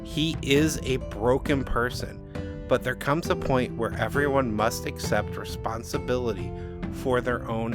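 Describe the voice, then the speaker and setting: A middle-aged man speaking as author and host in measured, scripted podcast commentary. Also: medium pitch.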